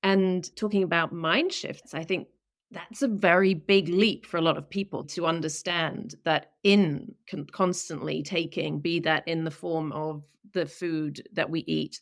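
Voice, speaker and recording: A woman's voice: deep voice, vocal fry. A woman hosting a podcast, asking long, thoughtful questions and searching for her words as she goes. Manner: thoughtful, searching, slow phrasing with restarts. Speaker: podcast host. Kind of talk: conversational interviewing.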